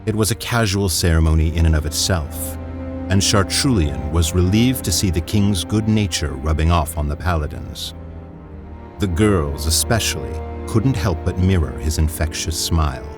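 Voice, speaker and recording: A man's voice: deep voice, moderate pace, over music, lightly acted. A man narrating a scripted science-fiction audio drama as its storyteller. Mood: measured.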